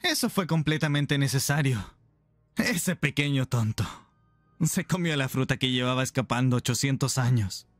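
A man playing an easygoing, expressive pirate captain. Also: medium pitch, moderate pace, no room sound, lightly acted. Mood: tender. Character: easygoing, affectionate male pirate captain, playful and protective. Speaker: roleplay performer. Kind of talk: ASMR anime roleplay acting. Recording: music behind the voice.

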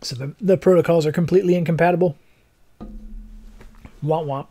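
A young adult man who streams games, chatting casually in a rambling, technical way, with a slightly hoarse voice. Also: fairly high pitch, steady tone, brisk, dark tone. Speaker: game streamer. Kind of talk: casual livestream chat. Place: living room, seated in armchair indoors.

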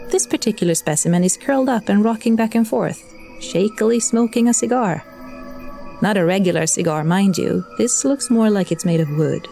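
A woman narrating scripted fiction as a wry, measured storyteller. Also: mid-range voice, gravelly, dark tone, faint music behind.

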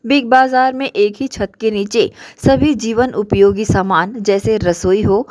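A woman's voice: medium pitch, fairly even intonation, a little slow, darkish tone, no room sound. A woman reporter reading a scripted local news voice-over in a formal, promotional manner.

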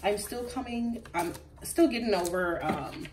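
A woman's voice: medium pitch, measured pace, a little mellow, far from the mic, a little hiss or hum, rough recording. A woman reading tarot cards to camera in a casual, chatty way, a little congested from a recent flu, with frequent ums and laughs. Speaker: tarot reader. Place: indoors at a table with crystals.

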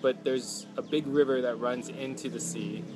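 A man in his thirties chatting on a phone livestream outdoors, upbeat and animated, telling stories full of "like"s. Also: medium pitch, moderate pace, over music, low-quality recording. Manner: upbeat, animated storyteller, many "like"s. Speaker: travel vlogger. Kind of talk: casual live chat. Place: outdoors at a home stay patio. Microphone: phone held close.

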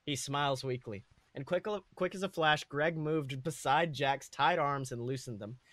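A man's voice: mid-range voice, normal speed, fairly bright tone, no echo. A man co-hosting a podcast, animatedly narrating comic fan fiction and chatting casually. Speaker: podcast co-host.

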